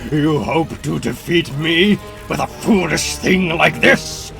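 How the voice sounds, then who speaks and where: A man plays a gloating comic book mad scientist, menacing and theatrically boastful. He takes his words slowly and savours each threat, with prominent music behind him. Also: on the high side, creaky, heavily acted. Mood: gloating menace. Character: gloating male supervillain mad scientist with mechanical arms. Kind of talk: comic book dramatic reading. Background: loud music.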